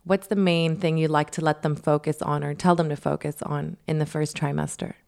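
Female podcast co-host, slightly low-pitched, enthusiastic, effusive, talking straight to listeners. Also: little pitch movement, fairly quick, gravelly, a little mellow. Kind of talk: upbeat podcast hosting, addressing listeners.

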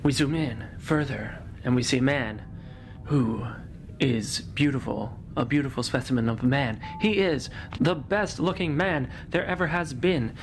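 A performer voicing a solemn, eerie anthology host in a mock suspense radio introduction, slow and portentous. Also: mid-range voice, faint music behind, close to an everyday voice. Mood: portentous, mysterious.

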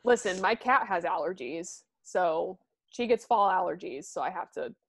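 A woman's voice: fairly low pitch, moderate pace, vocal fry, no echo. Woman, podcast co-host, casual rambling chat, close dry mic. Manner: chatty, rambling with fillers and laughs.

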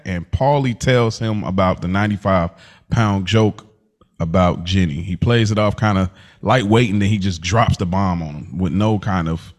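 A man's voice: fairly low pitch, a little mellow. A man co-hosting a podcast, chatting casually with a slangy, interjecting manner. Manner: slangy, laid-back, interjecting. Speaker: podcast co-host.

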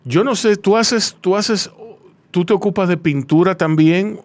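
A man's voice: sits high, a little slow. A man with a Dominican accent hosting a casual radio chat, emphatic and prone to repeating his phrases. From Santo Domingo, Dominican Republic. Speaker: radio interview host. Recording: faint background noise.

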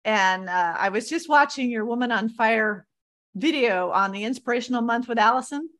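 Female talk show host, higher-pitched, upbeat and welcoming. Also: moderate pace, a bit bright.

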